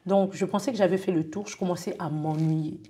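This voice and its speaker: A woman's voice: on the low side, fairly even intonation, brisk, mellow. A middle-aged French-speaking woman, a guest in an interview, talking casually about her path with many fillers and restarts.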